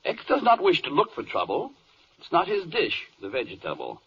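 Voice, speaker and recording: An actor playing a fussy interpreter who relays objections in rhyme in an old radio comedy. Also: male voice, on the high side, huge pitch swings, moderate pace, fairly bright tone, slightly rough, heavily acted. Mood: objecting. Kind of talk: 1940s radio comedy verse acting. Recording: muffled old radio recording.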